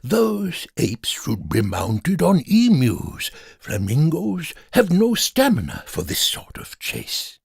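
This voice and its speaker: Man acting an indignant older gentleman, dry recording. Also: on the high side, huge pitch swings, takes it slowly, creaky, slightly rough, no echo, pitched above the performer's own voice, exaggerated voice. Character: indignant older gentleman in absurd radio story.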